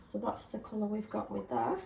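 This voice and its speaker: Woman rambling while she paints, bit croaky from a sore throat, roomy sound with faint background hiss. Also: mid-range voice, little pitch movement, fairly quick, a little mellow. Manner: self-deprecating, chatty, laughs often. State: slightly hoarse, sore throat. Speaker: craft vlogger. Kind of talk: casual chat while painting.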